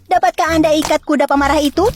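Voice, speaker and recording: A woman's voice: high voice, fairly even intonation, fast, a little mellow, pitched above the performer's own voice, close to an everyday voice. A woman voicing a scheming, insolent young female servant in a children's fairy tale, curt, bossy and haughty. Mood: sly, haughty.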